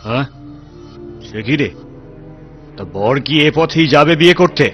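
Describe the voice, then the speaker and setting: A man's voice: a little high, expressive, unhurried, a bit bright, soft background music. A man playing a bossy, scheming bandit chief in a cartoon, with a commanding, scolding manner. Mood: inquisitive. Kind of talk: cartoon voice acting in Bengali.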